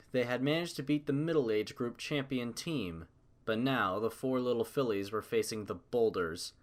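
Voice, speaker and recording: A man reading scripted story narration as the narrator. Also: medium pitch.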